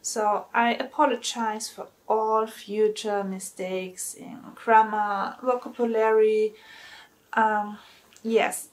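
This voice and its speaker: A woman's voice: on the high side, low-quality recording. A woman in her thirties, a native German speaker, talking hesitantly in a home vlog with fillers and careful phrasing. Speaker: vlogger. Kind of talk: first-time talking vlog. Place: bright indoor room at home. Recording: music bed under the voice.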